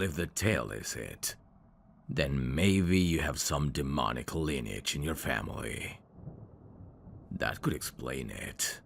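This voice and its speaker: A man playing a suave, teasing demon in an intimate ASMR roleplay, courteous and flirtatious, with a gravelly voice. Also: extremely low pitch, a little hiss or hum, full character voice. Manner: smooth-talking, flirtatious, formal phrasing with hesitations. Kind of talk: intimate ASMR roleplay acting addressed to the listener.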